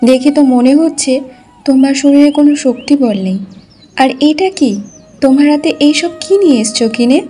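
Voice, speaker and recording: Woman acting a high-voiced, caring village wife. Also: fairly quick, dark tone, soft background music, close to an everyday voice. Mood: concerned. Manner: soothing, concerned. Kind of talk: cartoon story voice acting.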